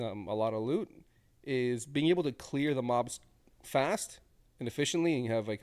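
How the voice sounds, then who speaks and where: A man's voice: medium pitch, a little mellow. A male streamer with a cold, sniffly as he gives casual commentary on game previews, thinking aloud and rambling with restarts.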